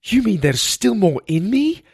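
Man playing an anxious, excitable boy hero. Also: mid-range voice, moderate pace, dry recording, clean studio sound, natural voice. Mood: shocked. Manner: anxious, exclamatory. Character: excitable, squeamish boy hero of a fantasy adventure. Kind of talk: character dialogue in an adventure audio story.

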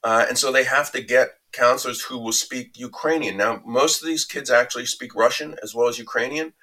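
A man with a bright, forceful tone and a mid-range pitch speaks as an interview guest. He talks in a measured, reflective way and builds his answer through anecdotes. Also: fairly even intonation. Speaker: interview guest recounting a reporting trip.

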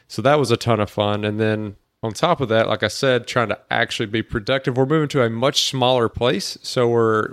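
A young man from the Boston area co-hosting a podcast, chatting in a relaxed, rambling way. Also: mid-range voice, no room sound, studio quality. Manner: relaxed, rambling, lots of fillers like "like". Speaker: podcast co-host. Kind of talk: casual chat.

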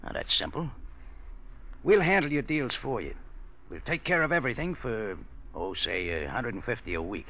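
An American actor playing a ruthless, smug gangster in a 1940s radio crime drama, gravelly, slangy and menacing. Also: male voice, medium pitch, very animated, normal speed, bright, forceful tone, slight background noise. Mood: sly, menacing. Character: ruthless, smug male gangster making a shakedown. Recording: muffled vintage radio recording.

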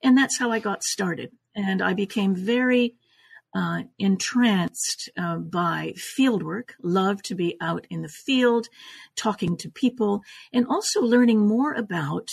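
An older woman from Canada, born in Italy, answering reflectively as an interview guest, halting as she thinks aloud. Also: medium pitch, vocal fry, breathy edge. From born in Italy, raised in Canada. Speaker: guest, folklorist and oral historian.

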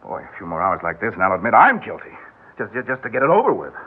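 An actor playing a harried, caring husband on edge, coaxing and wry, in old radio drama style. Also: male voice, lowish voice, wildly swooping pitch, quick pace, husky, full character voice. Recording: tinny, band-limited old radio recording.

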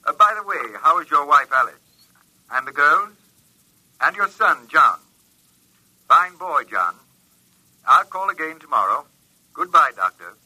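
A man's voice: fairly high pitch, sing-song, measured pace, long pauses, breathy edge, no room sound, heavily acted, rough recording. An actor playing the recorded telephone voice of a courteous male doctor, chatting obliviously through a phone filter in a radio drama. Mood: polite, chatty. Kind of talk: old-time radio drama acting. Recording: thin, sharp telephone sound.

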